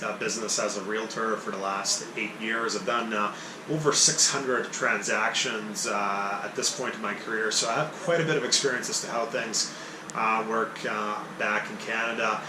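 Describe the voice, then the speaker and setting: A man's voice: lowish voice, noisy background. Croatian-born man, casual talk in an echoey room. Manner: conversational, frequent "uh" fillers, emphatic bursts. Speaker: realtor. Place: abroad, recorded on location.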